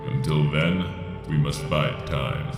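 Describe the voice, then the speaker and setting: A man's voice: very deep voice, steady tone, slow, full character voice. A man playing an ancient, arrogant machine construct who speaks formally and pompously in a sci-fi drama. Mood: grave, portentous. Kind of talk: dramatic sci-fi audio acting. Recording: echoing voice under prominent music.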